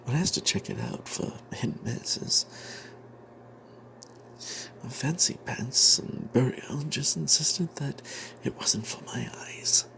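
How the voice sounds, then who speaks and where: A man voicing a greedy, cowardly adventurer cleric, telling a fantasy tale in the first person in a breathy, secretive ASMR whisper with hesitant pauses. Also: mid-range voice, very animated, fairly bright tone, a little hiss or hum, full character voice, low-quality recording. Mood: sly, hushed. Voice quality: breathy whisper with creaky edges. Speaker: narrator of a tabletop fantasy tale.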